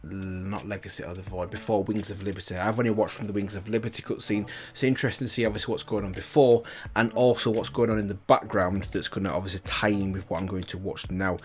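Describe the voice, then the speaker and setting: Low-voiced man giving a casual review. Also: fairly even intonation, fast, few pauses. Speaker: reaction video host. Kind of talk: casual spoken reaction and review.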